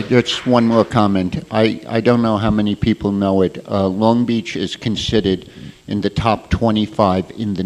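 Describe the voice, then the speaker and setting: Man, council member, very low voice, short informal aside. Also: slight background noise. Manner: apologetic, adds a quick comment.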